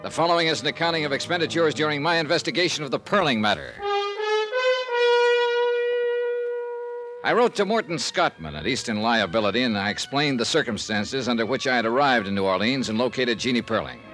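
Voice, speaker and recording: A man's voice: medium pitch, fast talker, hardly any pauses, natural voice. Male actor as a world-weary American investigator for vintage radio crime drama: terse, hardboiled, dry delivery, prominent music bed. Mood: brisk, matter-of-fact. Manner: clipped, hardboiled, deadpan. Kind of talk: 1950s radio detective acting and first-person narration.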